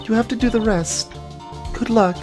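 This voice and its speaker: Woman playing an earnest, high-voiced courtroom heroine. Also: normal speed, over music, lightly acted. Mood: pleading, brave.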